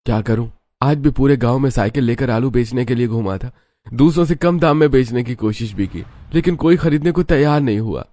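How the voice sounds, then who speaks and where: A man's voice: medium pitch, a little fast, mellow, no room sound, lightly acted. Man voicing a plaintive poor village potato seller. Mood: weary.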